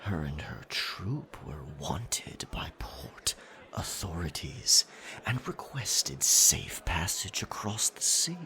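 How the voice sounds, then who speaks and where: A man playing a captain werecat in an ASMR roleplay, telling a scary tale in a breathy, gravelly, theatrical voice with deliberate pauses. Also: deep voice, big pitch swings, sharp tone, full character voice. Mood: ominous storytelling. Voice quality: breathy, gravelly half-whisper. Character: pirate captain werecat, dominant, ominous campfire storyteller.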